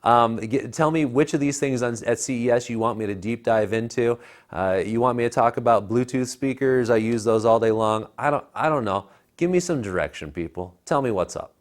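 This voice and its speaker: A man's voice: mid-range voice, brisk. An American man in his thirties reviewing tech in casual chat, with an emphatic, persuasive, colloquial way of talking. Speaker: tech reviewer, show co-host. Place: living room at home. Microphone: clip-on lapel mic.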